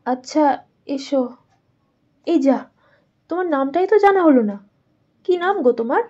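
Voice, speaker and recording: A woman's voice: high voice, animated, normal speed, warm tone. A woman playing a worried, loving village mother of young children in a dramatized doll story. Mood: astonished. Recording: muffled audio with faint music.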